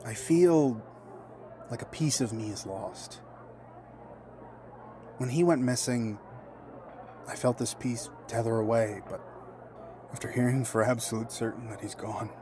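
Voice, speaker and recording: A man plays a polite, guarded friend in an audio drama. His mid-pitched voice is measured and formal, over light background ambience. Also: dark tone, a little hiss or hum, close to an everyday voice. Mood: grieving.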